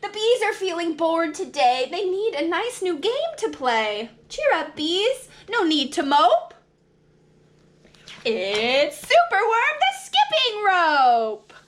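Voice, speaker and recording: A woman reading a rhyming children's storybook aloud as a preschool teacher, with dramatic, expressive, singsong delivery. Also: very high-pitched, slow, a little hiss or hum.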